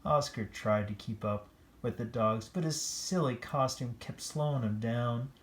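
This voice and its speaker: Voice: medium pitch, a little slow. A read-aloud storyteller reading a children's picture book expressively, with playful, chatty asides.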